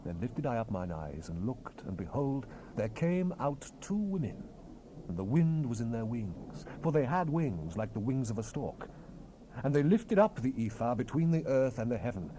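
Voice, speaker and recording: Dark-voiced man, dramatic Bible narrator. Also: medium pitch, big pitch swings, moderate pace. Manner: solemn, declamatory. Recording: hissy 1970s cassette rip.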